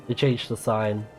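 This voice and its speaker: A man's voice: mid-range voice, darkish tone. A man giving casual live commentary as he plays a video game, thinking aloud. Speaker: game streamer.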